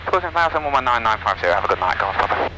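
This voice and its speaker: A man's voice: mid-range voice, very animated, rapid-fire. A young man piloting a small plane, making clipped radio calls over an aviation headset. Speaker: private pilot. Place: inside a small aircraft in flight. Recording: noisy light aircraft cockpit intercom. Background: engine and radio noise.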